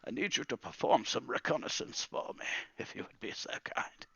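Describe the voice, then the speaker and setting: A man voicing an old wizard, brooding and theatrical, with drawn-out lines in a tabletop fantasy game. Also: medium pitch, lively intonation, gravelly, fairly bright tone, breathy edge, highs cut off, pitched above the performer's own voice, exaggerated voice. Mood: scheming, gentle. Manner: theatrical, drawn-out, swinging between cries and hisses. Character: old bearded wizard, grieving, brooding, quietly menacing.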